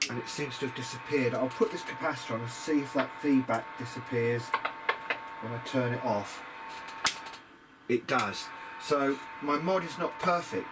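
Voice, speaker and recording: A man talks casually through hobby repair work at the bench, at a middling pitch. He muses and hesitates as he reasons things out aloud. The amateur recording carries audible background noise. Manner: hesitant, musing, thinking aloud. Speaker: hobbyist radio repairer presenting a restoration. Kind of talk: casual workbench commentary.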